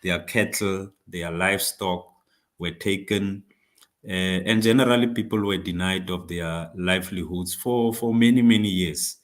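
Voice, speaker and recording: A man from South Africa whose first language is not English, speaking to comrades in a discussion, halting with frequent fillers and restarts. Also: sits low, steady tone. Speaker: speaker on labour politics.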